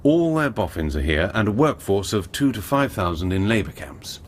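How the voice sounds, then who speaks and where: An actor playing a ruthless, authoritative British army major in a wartime radio drama, clipped in manner. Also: male voice, fairly low pitch, very animated, normal speed. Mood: briskly commanding. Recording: heavy vehicle noise behind the voice.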